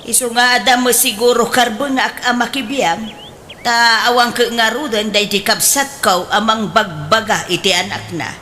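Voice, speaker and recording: Exasperated pious aunt, Ilocano accent, sharp bright voice, nagging radio drama delivery, faint noise. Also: female voice, fairly high pitch, fairly even intonation, moderate pace. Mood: reproachful, nagging. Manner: nagging, emphatic.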